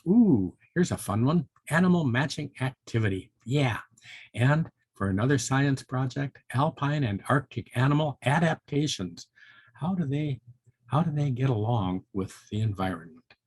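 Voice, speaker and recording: A man's voice: medium pitch, expressive, normal speed, a little mellow, slightly rough, no room sound. An older man reading and chatting to young children in a folksy, musing way. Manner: folksy, musing, with interjections like hmm and well. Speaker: volunteer storybook reader for children.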